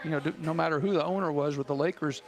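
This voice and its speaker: A middle-aged American man co-hosts a sports talk show. He chats casually in a voice that is a bit higher than most men's, with a strong gravelly fry. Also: steady tone, very fast.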